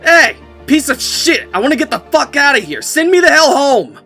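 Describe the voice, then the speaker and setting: A man playing a bewildered, foul-mouthed ordinary man who mutters to himself or yells in exasperation, in an audiobook. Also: very high-pitched, sing-song, moderate pace, soft background music, exaggerated voice. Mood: angry. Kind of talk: audiobook character acting.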